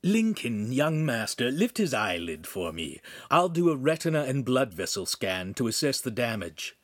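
A man's voice: mid-range voice, very animated, moderate pace, fairly bright tone, no room sound, studio quality. A man voicing a small holographic imp in an audiobook, mocking and pompous, declaiming in a florid, grandiose way. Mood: earnest, attentive. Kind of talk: audiobook character voice.

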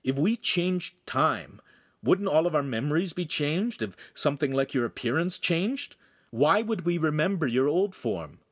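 Storybook teen, questioning and practical, slightly raised animated voice; male narrator acting. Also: fairly high pitch, measured pace, no echo, studio quality, pitched above the performer's own voice. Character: inquisitive, practical teenage boy, questioning and puzzled.

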